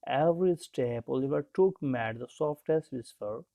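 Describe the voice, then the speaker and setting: A narrator telling a bedtime story in a calm, gentle, unhurried manner. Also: mid-range voice, gravelly. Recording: dull lo-fi sound.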